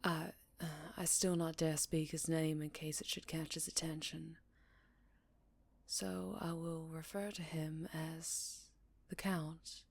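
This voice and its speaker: Woman acting low, slow, hushed vampire lady, Southern accent. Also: flat delivery, gravelly, full character voice. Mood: somber, confiding. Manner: slow, hushed, affectionate, with pauses and sighs. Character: gentle, melancholy English-born female vampire, tender and wary. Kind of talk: intimate ASMR roleplay, soft and partly whispered.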